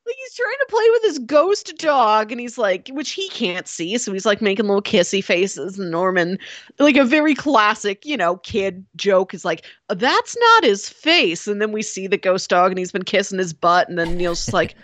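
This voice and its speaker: Animated woman with a very lively pitch. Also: normal speed, no echo. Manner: animated retelling, acts out characters' lines. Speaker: film podcast co-host. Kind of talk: casual film discussion.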